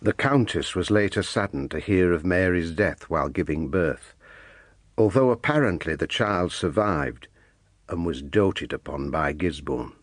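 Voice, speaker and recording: Deep-voiced, formal priest. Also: male voice, animated, no echo. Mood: measured, informative. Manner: formal, measured. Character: measured, formal priest reading out his letter. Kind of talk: audio drama acting.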